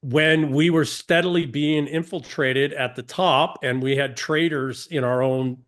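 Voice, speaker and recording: A man's voice: a little high, steady tone, measured pace, a bit bright. A man from the United States, a guest on an interview podcast, speaking emphatically, asking rhetorical questions and often restarting sentences.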